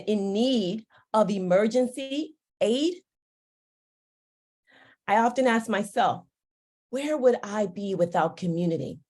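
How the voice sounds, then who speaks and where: A woman whose first language is Yoruba, giving a slow, deliberate and uplifting formal speech at an awards ceremony. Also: mid-range voice, darkish tone. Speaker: ceremony speaker.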